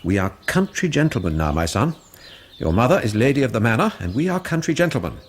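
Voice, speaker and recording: A stern English Victorian father in a radio play. His husky voice swings widely in pitch, and he speaks with formal, pompous authority. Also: big pitch swings, fast talker. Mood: proud. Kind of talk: 1990s radio drama acting.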